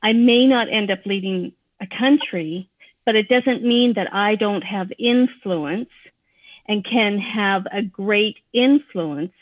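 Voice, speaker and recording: A woman in her fifties chats as a podcast co-host. The sound is narrow and phone-like, very dry, and her pitch is average for a woman. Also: slow pace, no room sound. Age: 50s. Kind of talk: casual conversational discussion. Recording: thin phone-like band-limited sound.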